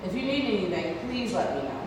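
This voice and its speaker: A woman at a play table read, playing a kindly, tactful nurse with a polite, measured manner. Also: mid-range voice, very animated, natural voice. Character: kindly, tactful female nurse, gently firm. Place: large indoor theatre room, far microphone. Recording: distant, echoey hall, noisy room recording.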